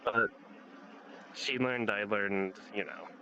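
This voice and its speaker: A man in a casual online chat, speaking in halting, low-key phrases as he shares personal stories. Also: steady tone, sharp tone, highs cut off, a little hiss or hum. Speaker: host.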